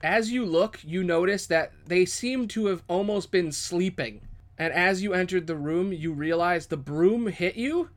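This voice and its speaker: A man's voice: sits high, measured pace. A man improvising dramatic, descriptive narration as the game master of a tabletop role-playing game.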